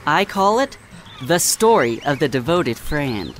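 A man playing a gentle, wise little songbird who tells a story politely and in measured phrases. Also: on the high side, big pitch swings, slight background noise. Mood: gentle narration. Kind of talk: audio drama acting and storytelling.

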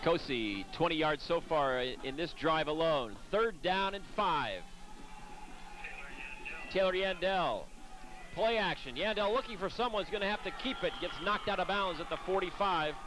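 Upbeat man calling high school football. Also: animated, fairly bright tone, faint music behind. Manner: brisk, upbeat play-calling. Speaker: play-by-play announcer. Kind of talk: local cable sports commentary.

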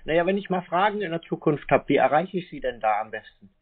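A man's voice: fairly high pitch, expressive, quick pace, breathy edge. A man chatting casually as a customer on a phone call, persistently putting questions. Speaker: scambaiter posing as customer. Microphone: telephone call. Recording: narrow phone-like call audio.

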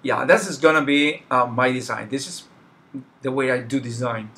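A man's voice: mid-range voice, moderate pace, a bit bright. A middle-aged man with a Spanish accent, a native Spanish speaker, chatting casually while sketching on a live stream. He searches for words, with many fillers and restarts. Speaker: artist streaming a drawing session. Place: small home room, indoors.

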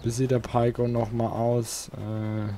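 A man talks his way through a video game in a casual let's play style. He takes his time and often mutters to himself, at a middling pitch, with faint noise behind the voice. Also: little pitch movement, slow, mellow. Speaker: let's player. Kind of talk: casual gameplay commentary.

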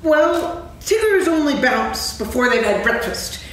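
A woman's voice: high voice, big pitch swings, a little slow, fairly bright tone, exaggerated voice. A woman reading the part of an eager, boastful young tiger in a staged reading of a children's play. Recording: echoey stage, distant mic, background noise.

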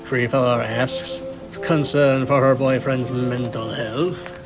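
A man's voice: medium pitch, steady tone, slow pace, music in the background. A man reading scripted story narration as the narrator of a fan fiction tale.

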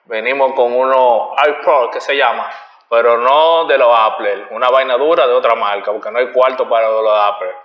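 A young adult man with a Dominican Spanish accent hosts a relaxed interview in friendly street slang. His voice sits at a middling pitch. It sounds roomy and dull, picked up by a camera across a bright living room. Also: moderate pace. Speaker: host. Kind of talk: casual interview.

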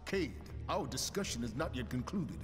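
An actor playing a stern, duty-bound military commander in a video game, firm, formal and authoritative. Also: male voice, mid-range voice, expressive, normal speed, close to an everyday voice. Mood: stern, urgent. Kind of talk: cinematic game acting. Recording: reverberant hall, music behind.

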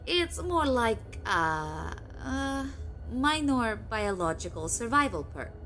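Woman acting a high, lively alien co-pilot. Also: slow, slight background noise, full character voice. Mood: friendly, playful. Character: friendly, sprightly alien female co-pilot. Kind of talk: sci-fi audio drama acting.

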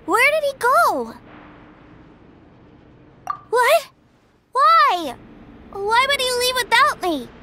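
Brief: wide-eyed little boy, very high voice, slow and springy delivery, game. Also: sing-song, background noise, exaggerated voice. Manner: eager and wide-eyed, easily thrilled. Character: excited little boy, eager and trusting. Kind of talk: game voice acting.

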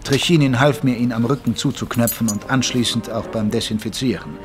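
An actor playing a sober, reluctant army doctor, narrating his story in an audio drama in a measured, dryly wry way. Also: medium pitch, soft background music, natural voice. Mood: wary, dry. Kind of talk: scripted first-person audio drama narration.

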